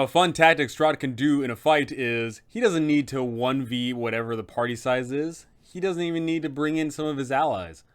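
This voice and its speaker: A man's voice: medium pitch, fairly bright tone, clear, resonant tone. A man hosting a game master's guide, narrating in an emphatic, conversational explainer style.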